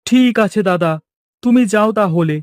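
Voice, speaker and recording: A man's voice: high voice, normal speed, dry recording, voice pushed up, close to an everyday voice. A man voicing an eager, friendly village man in a cartoon, speaking in a coaxing way. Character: eager village man wanting to start trading. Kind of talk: Bengali cartoon dialogue acting.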